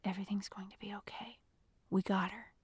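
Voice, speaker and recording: A woman voicing a protective young male agent in an audiobook, speaking in a calm, urgent, commanding whisper. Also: mid-range voice, unhurried, breathy edge, dry recording. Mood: hushed, firm. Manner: hushed, reassuring, commanding whisper. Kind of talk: audiobook character dialogue.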